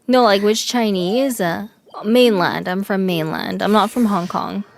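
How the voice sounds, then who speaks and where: A young woman streaming a game, chatting casually with her chat, swearing and yelling in excitement now and then. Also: medium pitch, unhurried, gravelly. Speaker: VTuber streamer. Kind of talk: casual gaming livestream chat.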